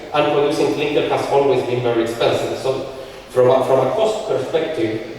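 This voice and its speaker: Man from Chile at a conference lectern, mid pitch, methodical walk through his slides, mic well away in a big reverberant hall. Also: darkish tone, slight background noise. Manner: explanatory, walks through slides with frequent restarts. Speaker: conference speaker. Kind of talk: technical conference talk. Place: large lecture hall. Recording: echoey hall from far off.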